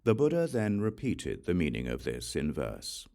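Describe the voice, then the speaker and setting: A man reading a religious text aloud in a measured, solemn recitation as an audiobook. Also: sits low, huge pitch swings, creaky, mellow. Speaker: audiobook reader.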